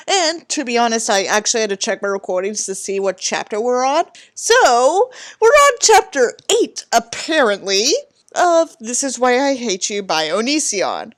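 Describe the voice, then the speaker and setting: A woman's voice: a little high, no echo, clean studio sound. A woman hosting a book reading with casual, sweary commentary and crude, sardonic asides. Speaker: host of a dramatic book reading.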